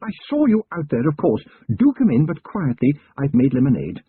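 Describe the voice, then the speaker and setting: A man reading aloud and voicing a kindly, proper village schoolmistress in a husky, slightly raised voice. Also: fairly high pitch, wildly swooping pitch, normal speed, dry recording, voice pushed up, full character voice. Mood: gentle. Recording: thin phone-like sound.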